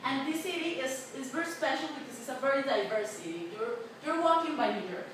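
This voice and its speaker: A woman's voice: high voice, animated, fairly quick. A woman in her twenties from Colombia, a native Spanish speaker with a Colombian accent, giving a chatty class presentation with many fillers and restarts. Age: 20s. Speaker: student presenter. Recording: echoey, noisy room from a distance.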